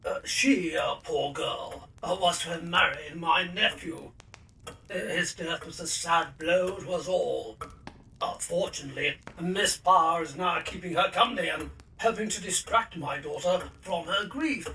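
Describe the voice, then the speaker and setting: Fussy old gentleman, slow hesitant delivery, repeats himself, irritable, radio drama, slightly off-mic. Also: on the high side, distant mic, rough recording. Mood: confiding, sorrowful. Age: older. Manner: hems and haws, repeats himself. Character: fussy, irritable, well-meaning old English gentleman father. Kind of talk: audio drama acting.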